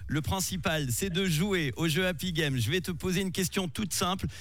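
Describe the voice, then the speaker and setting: Upbeat radio game host. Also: male voice, high-pitched, moderate pace, a bit bright, no room sound. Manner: playful, laughs often, teasing. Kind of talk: lively radio game show.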